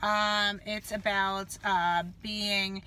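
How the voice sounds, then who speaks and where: A woman's voice: medium pitch, clear, resonant tone. A woman reviewing books in a casual vlog, slightly nasal from a cold, with many fillers and an easygoing, rambling delivery. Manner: relaxed, filler-heavy, unhurried. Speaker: book reviewer.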